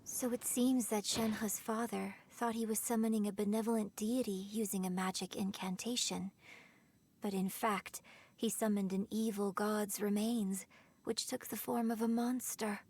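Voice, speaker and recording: A woman voicing a polite, refined young opera performer in a video game, speaking in a measured, reflective, gentle way. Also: medium pitch, fairly even intonation, slight background noise, close to an everyday voice. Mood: sorrowful. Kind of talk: video game cutscene acting.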